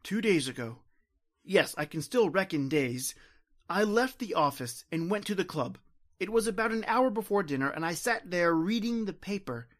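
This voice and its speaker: A woman playing a desperate, terrified invisible widowed soul, dramatic and halting, in an old-time radio horror monologue. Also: lowish voice, animated, close to an everyday voice. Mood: haunted dread. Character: desperate, terrified invisible widower trapped between life and death.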